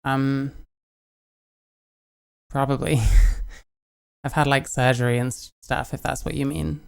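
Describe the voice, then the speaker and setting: A British woman answers her viewers' comments in a casual, deadpan way, keeping most replies short. Her voice is smooth and resonant with a gravelly edge of vocal fry. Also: very deep voice, takes it slowly. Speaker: streamer.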